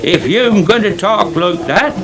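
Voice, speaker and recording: An old rustic farmhand from a radio soap, plaintive and loyal, with a West Country accent. The voice is high and creaky, with a murmur of people behind. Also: male voice, animated, measured pace. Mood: needling. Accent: English West Country. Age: older. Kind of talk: British radio soap acting. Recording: 1980s radio drama, crowd murmur behind.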